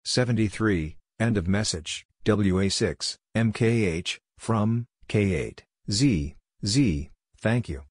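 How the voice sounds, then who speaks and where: A man reading out scripted radio exchanges in short, clipped, measured phrases as a Morse code practice narrator. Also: fairly low pitch, dry recording.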